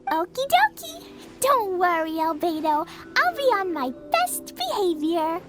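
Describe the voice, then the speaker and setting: A woman's voice: very high-pitched, big pitch swings, unhurried, exaggerated voice. A woman voicing a cheerful, innocent little girl in a video game, with a small, sweet, earnest voice. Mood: obedient. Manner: bubbly, earnest. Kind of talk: video game cutscene voice acting. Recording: music behind the voice.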